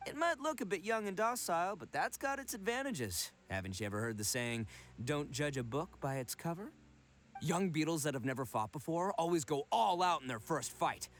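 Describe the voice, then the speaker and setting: A goofy, boastful young man in an anime game. His voice sits high and leaps around with theatrical swagger. The talk is slangy and full of bragging. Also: sing-song, normal speed, a bit bright. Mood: reassuring, confident. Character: brash, boastful young man, goofy self-proclaimed beetle expert.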